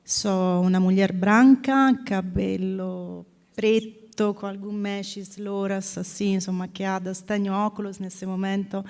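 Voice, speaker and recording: A woman in her fifties from Italy with a strong Italian accent, speaking on a panel as a corporate people director, drifting between languages and often clearing her throat. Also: mid-range voice, fairly even intonation, slow pace, a little mellow. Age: 50s. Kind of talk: panel talk.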